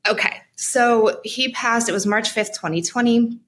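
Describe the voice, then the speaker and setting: A woman guest, a mental health practitioner, telling a personal story in an interview in a reflective, halting way with frequent restarts and pauses. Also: on the high side, fairly bright tone.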